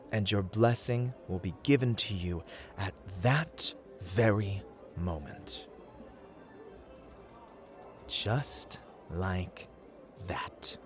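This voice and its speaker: Casting: male actor for a playful, condescending gambling god in audio roleplay; low voice, unhurried and dramatic, dipping into whispers. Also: full character voice. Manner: theatrical, teasing, formal, condescending, drifting into whispers. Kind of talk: ASMR roleplay, scripted dramatic monologue.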